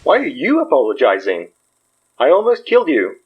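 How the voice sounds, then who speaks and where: A gentle, shaken young-hearted man, hesitant and emotional with lively swings of pitch. His voice is a bit husky, in a slightly muffled horror audio drama. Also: normal speed. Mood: shaken, guilty. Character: gentle, rattled man freed from possession.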